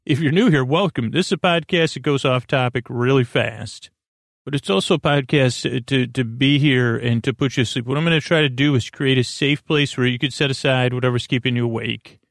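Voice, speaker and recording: A man with a medium-pitched, creaky voice hosts a bedtime podcast. He meanders from one tangent to another, halting, repeating and interrupting himself. The recording is dry, with very little room sound. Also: no echo. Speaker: podcast host. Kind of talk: rambling bedtime sleep podcast intro.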